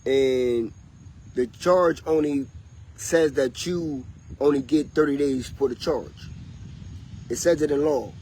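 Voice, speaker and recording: A man's voice: medium pitch, takes it slowly, long pauses, darkish tone, slightly rough, poor audio. A man, talking in a loose, rambling and preachy way to his livestream viewers. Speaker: vlogger. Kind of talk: casual livestream monologue.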